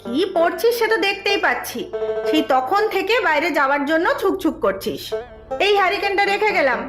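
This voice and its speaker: Scolding cartoon mother, woman actor: extremely high, animated pitch, commanding and nagging, echoey room. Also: a little fast. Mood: stern. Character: strict, worried scolding mother of a young boy. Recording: echoey, music behind.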